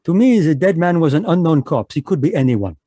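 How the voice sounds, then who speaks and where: An actor playing a fussy, courtly Belgian detective, in a live radio drama over a video call. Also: male voice, a little high, big pitch swings, fairly quick, mellow, dry recording. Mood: smug, courtly. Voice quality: precise, courtly French-inflected delivery. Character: fussy male Belgian detective, polite and sly.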